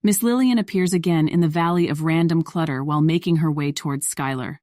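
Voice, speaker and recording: A woman's voice: low-pitched, brisk, a little mellow, dry recording, studio quality. A woman reading scripted story narration in the role of narrator.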